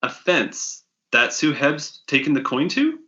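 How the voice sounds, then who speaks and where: A man's voice: a little high, sing-song, unhurried, bright, forceful tone, lo-fi sound, heavily acted. A man reading an earnest, curious newcomer among pirates in a comic, plain and inquisitive.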